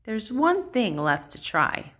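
A woman's voice: medium pitch, big pitch swings, slow, fairly bright tone, heavily acted. A woman voicing a plucky, determined young girl rocket captain in a storybook, earnest and upbeat.

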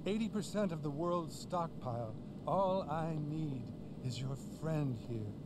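An actor playing a pompous, theatrical middle-aged celebrity psychiatrist, a grandiose villain in a video game. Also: male voice, on the high side, lively intonation, a little slow, very noisy. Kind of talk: video game cutscene acting.

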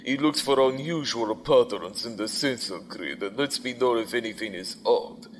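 Sci-fi audio drama: ruthless imperial commander played by a man, formal, controlled menace, mid-pitched, faint noise behind. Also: moderate pace, natural voice. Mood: cold command. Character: calculating, ruthless male station commander in a space empire. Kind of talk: science fiction audio drama acting.

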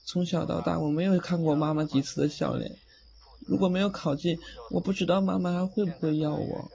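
A man playing a timid, sad young male ghost in an audio drama, soft and hesitant. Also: a little high, steady tone, brisk, creaky. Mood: sad, confessing. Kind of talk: Chinese audio drama acting. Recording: muffled lo-fi, faint noise, clicks.

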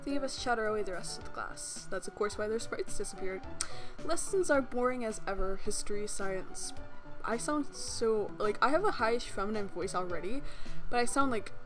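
A woman's voice: mid-range voice, animated, normal speed. A young woman hosting a let's play, reading a visual novel aloud and joking between lines with a playful, giggly manner and casual swearing. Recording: voice with game music behind. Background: game music.

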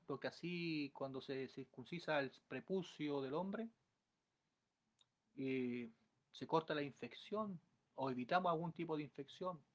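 Guy in his 30s preaching into his webcam at home, earnest and pleading, kinda high voice. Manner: earnest, exhorting, rising to forceful pleas. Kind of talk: sermon. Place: small room indoors at home. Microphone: webcam at close range.

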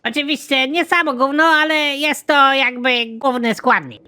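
A performer playing a talkative, crude alien defector in an animated comedy, explaining things in a matter-of-fact way. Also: high voice, moderate pace.

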